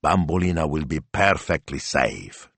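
A man's voice: a bass voice, big pitch swings, measured pace, creaky, fairly bright tone, no echo, heavily acted. A man voicing a cheerful male hotel owner who talks in an eager, reassuring way.

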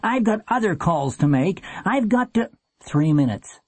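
A nasty, impatient storybook man, acted out by a male narrator, calling out in a raised voice. His delivery is sarcastic and needling, with big pitch swings. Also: very animated, unhurried, husky, dry recording, full character voice.

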